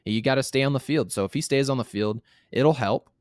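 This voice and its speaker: A man's voice: mid-range voice, a little fast, no room sound, studio quality. A man in his thirties co-hosting a casual sports talk show, speaking bluntly and emphatically, often repeating himself to make a point. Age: 30s.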